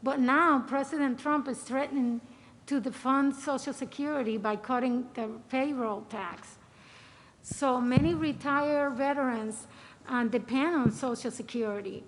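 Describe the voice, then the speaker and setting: High-voiced hesitant woman. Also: measured pace, slight background noise, poor audio. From Dominican Republic. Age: middle-aged. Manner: hesitant, many filler sounds. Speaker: retired Coast Guard lieutenant. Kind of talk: reading a prepared personal statement.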